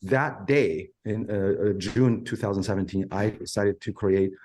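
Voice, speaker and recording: A man's voice: fairly low pitch, a little slow, darkish tone. A middle-aged man from China with a Chinese accent, answering in an interview in an earnest, emphatic way, telling his story in short phrases. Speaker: violin professor and interview guest.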